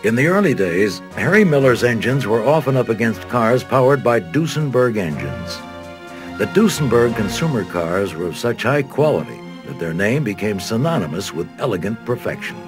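Documentary narrator: American man, low voice, lively and varied intonation, music bed. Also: moderate pace. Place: studio voice-over. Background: background music.

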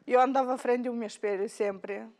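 Moldovan-accented woman in her 30s, bit high voice, halting, looking for words, lapel mic in a tv studio. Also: fairly even intonation, a little mellow. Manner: halting, searching for words, emotional. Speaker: guest. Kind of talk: casual interview chat. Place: bright TV studio, indoors.

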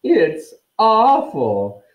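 A gleeful ghost girl from a storybook, performed by a man in a high voice pushed far up. The words are stretched out theatrically, with morbid, gloating relish, in a roomy recording. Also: wildly swooping pitch, lo-fi sound, full character voice. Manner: drawn-out, theatrical delivery.

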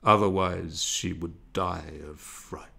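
A man voicing a world-weary demon lover who makes slow, solemn pronouncements in a storybook reading. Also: low-pitched, fairly even intonation, vocal fry, pushed and bright, pitched below the performer's own voice, full character voice.

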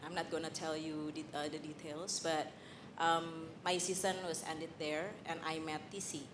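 Candid Indonesian woman, low voice. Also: level tone, background noise. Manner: candid, halting, with frequent fillers. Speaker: panel guest. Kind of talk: personal testimony on a church panel. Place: large hall on a stage. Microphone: handheld mic.

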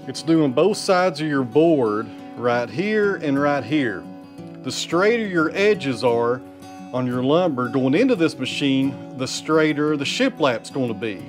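Plain-spoken workshop vlogger with guitar behind. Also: male voice, a little high. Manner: plain-spoken, addresses viewers as friends and guys. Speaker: sawmill vlogger. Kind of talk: casual how-to explanation to camera. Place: indoor wood workshop. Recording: guitar music playing underneath.

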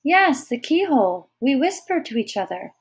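A gentle, protective girl from a storybook, played by a woman narrator. She speaks in a soft, reassuring way. The pitch is on the high side. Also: very animated, moderate pace. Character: gentle, protective young girl comforting a child. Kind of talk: audiobook character voice.

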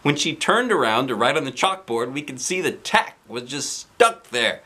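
A man in his forties reading a children's book aloud in an expressive storytelling style, with playful asides. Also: mid-range voice, moderate pace.

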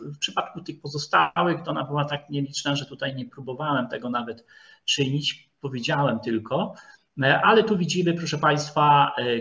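A man giving an informal history lecture, speaking haltingly and repeating words as he goes. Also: on the high side, a bit bright. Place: online video call presentation with screen share. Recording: dull, lo-fi online call audio.

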